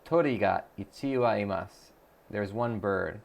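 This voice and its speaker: A man's voice: on the low side, normal speed, gravelly. A man on a live stream, chatting casually, thinking aloud with lots of fillers and restarts, and reading viewer messages aloud to answer them. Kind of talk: casual live-stream chat while practicing a language app.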